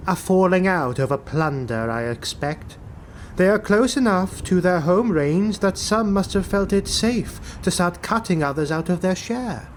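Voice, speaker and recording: Man voicing a haughty, higher-pitched elf knight. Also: expressive, unhurried, slight background noise. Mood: disdainful. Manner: haughty, disdainful. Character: disdainful male dark elf knight, deferential to his lord. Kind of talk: fantasy audiobook character acting. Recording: music bed behind the voice.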